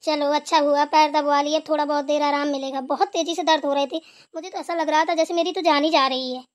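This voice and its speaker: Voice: high voice, flat delivery, fast, natural voice. A performer voicing an aching old village mother-in-law in a Hindi cartoon, bossy but affectionate. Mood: instructing, relieved. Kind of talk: Hindi family cartoon dialogue.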